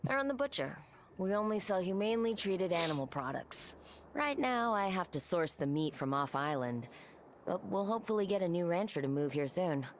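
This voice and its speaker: A woman's voice: lowish voice, very animated, moderate pace, slight background noise. An actress voicing a sardonic, blunt and chatty young woman butcher in a cozy video game.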